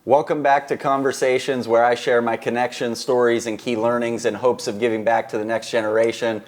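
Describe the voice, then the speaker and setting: A man's voice: medium pitch, flat delivery, brisk. Friendly man hosting an interview podcast. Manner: friendly, affirming, many short yeahs. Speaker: podcast host.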